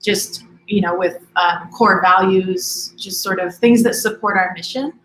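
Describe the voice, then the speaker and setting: A woman in her forties from Hawaii, a school admissions director talking relaxed in an interview, with many ums and restarts. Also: medium pitch, unhurried, echoey room, poor audio. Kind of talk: conversational interview.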